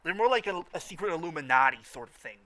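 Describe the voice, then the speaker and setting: A man's voice: a little high, lively intonation, fast, bright, forceful tone. A man in a casual fan discussion as a podcast co-host, often stammering and repeating words and phrases as he goes. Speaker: podcast co-host reviewing a cartoon.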